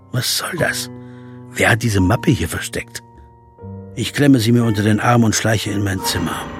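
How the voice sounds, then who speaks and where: A man's voice: medium pitch, lightly acted. A man playing a wry jazz pianist narrator. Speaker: first-person narrator. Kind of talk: audio drama narration.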